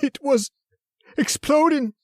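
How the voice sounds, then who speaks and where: A man's voice: very high-pitched, slow pace, a little mellow. A man playing a terrified, superstitious butler in a radio horror play, wailing and repeating himself in panic. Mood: panicked wailing. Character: terrified superstitious male butler, raving of doom. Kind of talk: old-time radio horror acting.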